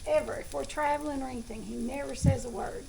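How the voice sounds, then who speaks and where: A woman's voice: high-pitched, steady tone, fast talker, creaky, mellow, a little hiss or hum, poor audio. A middle-aged woman with a Southern US accent, chatting casually as a home cooking host. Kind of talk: casual chat. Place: home kitchen with food sizzling.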